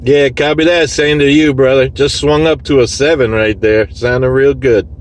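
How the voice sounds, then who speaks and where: A man's voice: mid-range voice, steady tone, normal speed. Man on CB radio, noisy vehicle. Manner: clipped radio lingo, repeats call sign. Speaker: CB radio operator. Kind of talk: casual CB radio exchange. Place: inside a vehicle, mobile unit. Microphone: handheld phone recording in the vehicle. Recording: noisy phone recording of CB radio session. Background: clear radio and vehicle noise.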